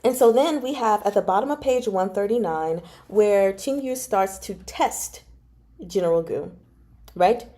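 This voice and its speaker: Mellow-voiced woman in casual, animated chat. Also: medium pitch, unhurried. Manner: animated, giggly, digressive, slangy. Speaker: book club vlogger. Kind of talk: casual chat retelling a novel.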